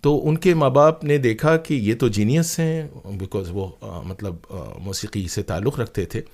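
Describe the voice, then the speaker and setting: A man with an Indian accent talking as an interview guest, telling stories in an animated, emphatic, conversational way. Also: medium pitch, unhurried. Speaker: interview guest, broadcaster and playwright.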